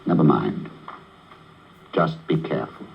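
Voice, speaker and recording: An actor playing a weary, bitter older inventor, desperate and obsessive, in 1950s radio drama style. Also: male voice, very deep voice, lively intonation, measured pace, creaky, faint music behind, exaggerated voice. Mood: grim warning. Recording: muffled, noisy 1950 radio broadcast.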